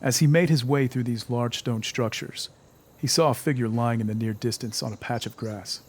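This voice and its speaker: A man's voice: medium pitch, steady tone, normal speed, husky. A man narrating a scripted audio drama in a suspenseful storytelling manner. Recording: music bed under the voice.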